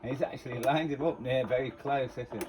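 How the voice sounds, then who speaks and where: A very noisy amateur recording with clicks carries a man's voice at an ordinary middle pitch. He rambles casually and mutters to himself, commenting off the cuff like a home vlogger. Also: fairly even intonation, fairly quick. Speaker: amateur vlogger going through old tapes.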